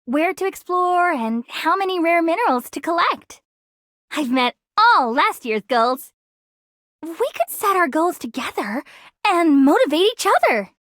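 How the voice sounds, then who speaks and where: A woman's voice: very high voice, very animated, unhurried, a bit bright, no echo, studio quality, heavily acted. A woman voicing an eager, upbeat young female explorer in a game, friendly and driven. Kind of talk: scripted game character greeting.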